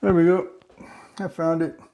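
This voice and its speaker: A man hosting a home cooking video, chatty and relaxed, talking through each step of a simple recipe. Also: a little high, moderate pace, vocal fry, lo-fi sound. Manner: relaxed and chatty, slips into a joking mock-Italian accent. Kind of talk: casual cooking demonstration.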